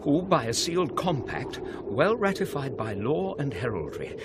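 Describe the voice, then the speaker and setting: A British man acting a scholarly, sceptical gentleman shaken by awe, in theatrical, measured Shakespearean radio drama style. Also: mid-range voice, big pitch swings, lightly acted. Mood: confiding, grave. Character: sceptical scholarly male friend of a prince, shaken and awed. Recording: noisy 1990s radio drama with ambience.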